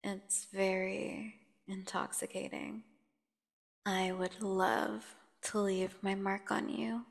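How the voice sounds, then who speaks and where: A woman playing a captive female vampire in an ASMR audio drama, seductive, taunting and menacing, telling her tale with teasing pauses and dropping at times to a near whisper. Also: medium pitch, flat delivery, creaky. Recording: long hall-like reverb.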